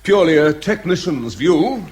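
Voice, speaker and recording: An actor playing a pompous, fussy committee member in a radio drama, with a formal, hesitant manner. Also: male voice, fairly high pitch, animated, normal speed, a little mellow, natural voice. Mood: fussy, insistent.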